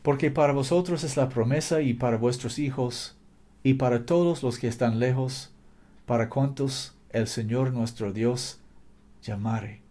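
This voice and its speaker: A man's voice: mid-range voice, unhurried, warm tone. A man preaching a fervent sermon in a language that is not his native one, exhorting listeners with repetition and occasional slips. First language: not Spanish.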